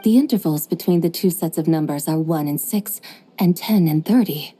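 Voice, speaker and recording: A coolly logical formal traveler. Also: female voice, deep voice, dark tone, husky, soft background music. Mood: composed. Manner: measured, formal, precise reasoning. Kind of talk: video game voice acting.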